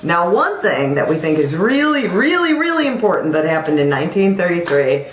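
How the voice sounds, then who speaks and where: A woman's voice: lowish voice, very animated, brisk, reverberant, a little hiss or hum. A woman co-hosting an informal mock news broadcast in a chatty, teasing way. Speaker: amateur newscast co-host.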